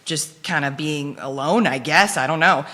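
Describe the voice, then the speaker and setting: A low-voiced young woman from the Philippines, Dutch her first language, tells her story casually, with plenty of fillers and quick laughs. Her tone is bright and forceful. A long reverb hangs around her voice. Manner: chatty, laughs often, lots of fillers. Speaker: guest sharing her testimony. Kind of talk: casual conversational testimony.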